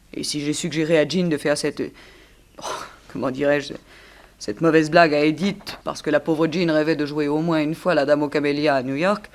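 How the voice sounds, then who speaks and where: Actress as a composed, coolly defiant suspect. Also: female voice, low voice, natural voice. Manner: composed, formal, precise. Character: self-possessed, coolly defiant young actress under suspicion. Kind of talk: theatrical radio drama acting.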